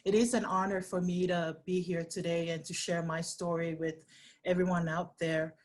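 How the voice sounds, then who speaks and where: Soft-pitched woman, earnest guest. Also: flat delivery, moderate pace. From Samoa. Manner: earnest, many "um"s and restarts. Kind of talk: webinar interview. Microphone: video call.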